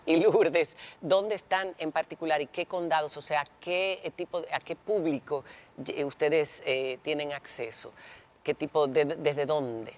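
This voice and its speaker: Low-voiced mature Dominican woman interviewer. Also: steady tone, a little slow. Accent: Dominican Spanish. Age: middle-aged or older. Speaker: interview host. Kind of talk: conversational TV interview.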